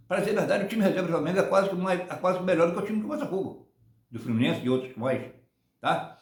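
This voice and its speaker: A man's voice: high-pitched, expressive, quick pace. Rambling older man in an echoey room. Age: 70s. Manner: rambling, many restarts and tag "tá?". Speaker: football channel host. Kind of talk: casual rambling commentary.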